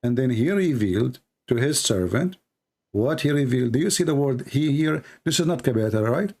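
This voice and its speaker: A man's voice: mid-range voice, lively intonation, a little fast, darkish tone. A man whose first language is Arabic, pressing his point insistently in a heated religious debate.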